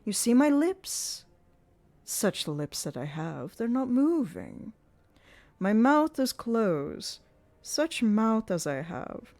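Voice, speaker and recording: A woman voicing a menacing masked male ventriloquist, slow, taunting and theatrical, with faint music behind. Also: fairly high pitch, mellow, voice pushed up, full character voice. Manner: slow, taunting, swooping and gloating.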